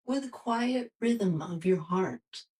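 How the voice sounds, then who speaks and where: A woman leads a guided meditation as a yoga teacher. She speaks very slowly and soothingly, with gentle, unhurried instructions at an average pitch. Her voice sits a little away from the microphone. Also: animated, distant mic.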